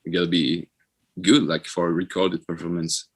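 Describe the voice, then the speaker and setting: A man's voice: low-pitched, level tone, normal speed. A man from France with a French accent, a band member talking casually as an interview guest.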